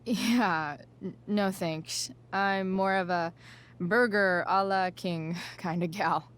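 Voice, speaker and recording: A woman's voice: medium pitch, steady tone, slow, fairly bright tone, smooth. A woman playing a sardonic, guarded teenage girl in a video game, slangy and hesitant, acted naturalistically. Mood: wry, awkward.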